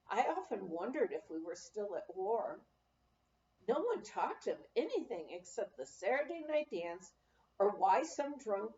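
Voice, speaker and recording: A woman reading a memoir aloud as narration, in a measured storytelling way with dramatic emphasis. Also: mid-range voice, mellow. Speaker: audiobook reader. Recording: roomy, slightly dull home recording.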